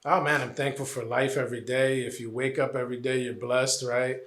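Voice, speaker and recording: A man in his forties from New Jersey answers interview questions at length, earnest and a little meandering, often pausing on "uh". His voice is bright and forward. The room gives it a clear echo. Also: medium pitch, level tone. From New Jersey, Puerto Rican family. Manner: earnest, lots of "uh" and "you know", repeats phrases. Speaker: interviewee, college administrator.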